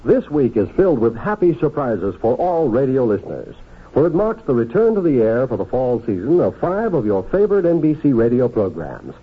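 A male radio announcer with a medium-pitched, husky voice and very lively intonation. He reads upbeat scripted promotion in 1950s style, over a thin, phone-like old recording. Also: a little fast. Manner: polished, upbeat sales delivery. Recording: narrow-band, tinny old radio recording.

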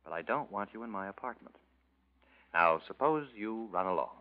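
A man playing a cynical young artist who answers everything with a clipped, sardonic edge. His voice sits low but moves widely in pitch. It comes through a thin, tinny old radio recording. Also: animated, moderate pace, lightly acted. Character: cynical bitter male artist, young adult. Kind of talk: 1940s radio acting.

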